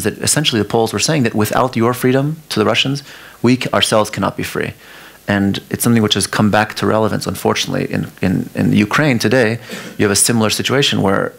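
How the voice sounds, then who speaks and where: A man's voice: fairly low pitch, vocal fry. A man whose first language is Farsi gives a talk about his art at a museum, often restarting his sentences as he thinks aloud. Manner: halting, restarts often, talks through ideas. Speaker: artist giving a talk. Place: museum lecture hall.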